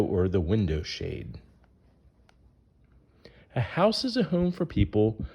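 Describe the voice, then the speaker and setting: A man's voice: lowish voice, mellow, slightly rough. A man reading a rhyming children's picture book aloud in a sing-song way, putting questions to young listeners.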